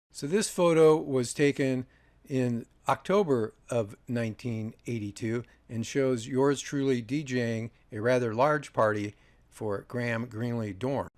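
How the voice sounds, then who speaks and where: Man in his 60s, gravelly creaky voice, measured reminiscing narration, dry humour, close dry recording. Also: mid-range voice, a little mellow, dry recording. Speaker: video host, audio reviewer. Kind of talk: narration over a photo slideshow.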